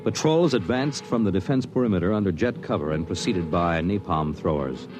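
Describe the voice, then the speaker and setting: An American man announcing and narrating a 1950s radio drama in a formal, measured, dramatic manner. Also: low voice, animated, music in the background.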